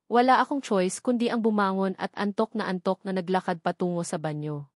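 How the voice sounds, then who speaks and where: A woman's voice: mid-range voice, darkish tone, no room sound. Dry-sounding woman narrating a romance novel. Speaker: audiobook narrator. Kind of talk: scripted first-person romance novel narration.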